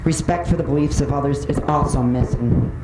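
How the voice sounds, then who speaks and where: A woman's voice: low-pitched, fairly quick. A woman giving a prepared public comment at a meeting, speaking in an earnest, pointed way. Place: indoor public meeting room. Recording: roomy, noisy, distant microphone with clicks.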